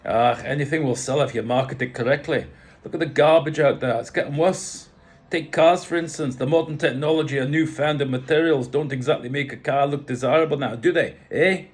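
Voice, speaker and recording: A storybook patriarch with a Scottish accent and a slightly rough voice, acted out by a man. He is older, blunt and imperious, lifting his voice high and loud as he holds forth. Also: fairly quick, lightly acted. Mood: blunt, opinionated. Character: gruff, commanding older Scottish father and mansion owner.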